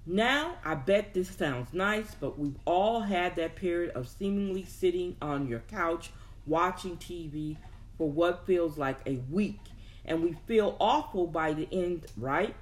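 A woman giving an instructional talk, reading out examples and adding emphatic, halting asides and rhetorical questions. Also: lowish voice, expressive. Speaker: presenter teaching a self-improvement lesson.

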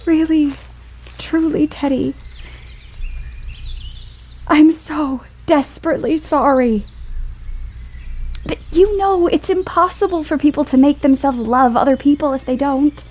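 A woman playing an earnest, independent young woman in a period audio drama, with a halting, pleading delivery. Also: high-pitched, darkish tone. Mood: apologetic, tender. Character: earnest, plain-spoken, independent young woman refusing a suitor.

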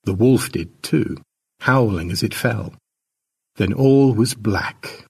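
A man narrating a scripted short story in a dramatic storytelling manner, with a husky voice. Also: lowish voice, takes it slowly, over music. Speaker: author narrating his own short story.

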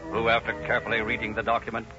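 American old-time radio announcer, muffled recording. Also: male voice, on the low side, monotone, rapid-fire, over music. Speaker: announcer and narrator. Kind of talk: 1930s radio narration and sponsor announcing.

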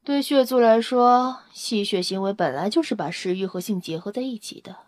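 A woman's voice: medium pitch, moderate pace, no echo, natural voice. Woman as nosy, close-miked vampire scientist. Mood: teasing, matter-of-fact. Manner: inquisitive, blunt, matter-of-fact. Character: nosy, clinical vampire-race scientist Lanka, coolly curious. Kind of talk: audio drama acting.

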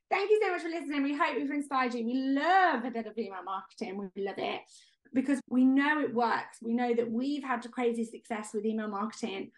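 A woman co-hosting a podcast in casual, enthusiastic chat, her voice going hoarse as she is losing it. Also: on the high side, moderate pace. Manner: enthusiastic, chatty. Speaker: podcast co-host.

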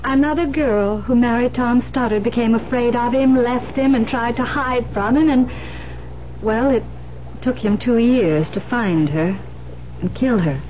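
A woman's voice: on the high side, a little slow, lightly acted. A woman playing an urgent, persuasive but guarded police detective in an old-time radio drama. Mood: grave, firm. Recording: muffled, noisy old radio broadcast recording.

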